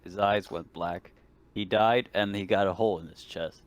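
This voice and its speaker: A man's voice: low-pitched, steady tone, moderate pace. A man bantering in character at a tabletop game, incredulous and casual. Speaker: tabletop roleplaying player.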